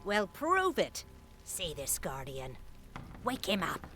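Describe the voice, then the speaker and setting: A woman's voice: fairly low pitch, wildly swooping pitch, takes it slowly, a bit bright, a little hiss or hum, full character voice. A woman voicing a mysterious, scheming voodoo priestess in a video game, theatrical and enticing. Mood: sly, challenging. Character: mysterious, scheming voodoo priestess seeking her lost power. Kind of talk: video game character acting. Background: music.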